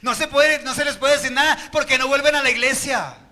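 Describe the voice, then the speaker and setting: A man's voice: very high-pitched, fairly quick, pushed and bright. A Colombian man preaching a sermon as pastor at a church service, firing rhetorical questions at the congregation.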